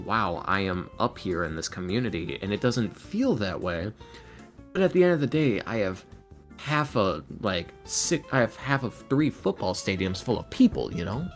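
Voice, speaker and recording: Low-voiced man over music, chatting casually. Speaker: podcast guest, ASMR roleplay creator and voice actor. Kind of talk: casual podcast interview talk. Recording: music playing under the talk.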